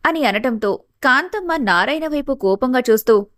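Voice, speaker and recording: A woman's voice: high-pitched, animated, moderate pace, a bit bright, dry recording, clean studio sound. A woman giving scripted narration of a family story.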